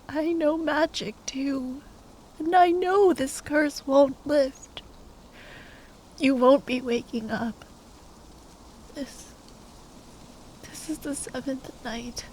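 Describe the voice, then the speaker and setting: A woman playing a grieving, tender young sorceress at a bedside in an intimate ASMR roleplay, speaking in a hushed, halting way that often slips into whispers. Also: high-pitched, slight background noise, low-quality recording. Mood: resigned sorrow.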